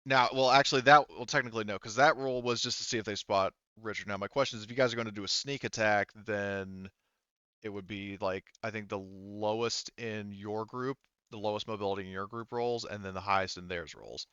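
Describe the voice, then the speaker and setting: A man running a tabletop game as game master, talking casually and haltingly as he thinks aloud. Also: lowish voice, steady tone, sharp tone, clear, resonant tone, no echo, dull audio.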